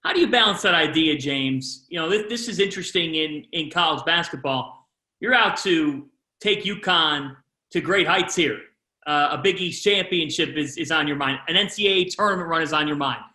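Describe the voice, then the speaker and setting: Male sports interviewer, high bright voice, lively questions, roomy echo. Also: normal speed. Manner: lively, shifts pace within questions.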